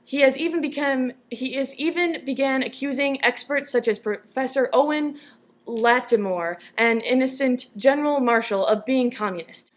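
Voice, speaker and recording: A woman's voice: high-pitched, normal speed. A young woman reading scripted news stories as a newscast anchor. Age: young adult.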